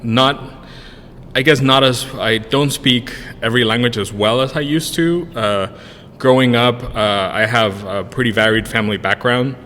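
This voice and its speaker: A man's voice: mid-range voice, unhurried, pushed and bright. A man in his thirties whose first language is Spanish, answering interview questions in a rambling way with many fillers and restarts. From Spanish-speaking country, moved to the US in 1999. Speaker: podcast guest, brewery co-owner. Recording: room with long reverb, faint noise.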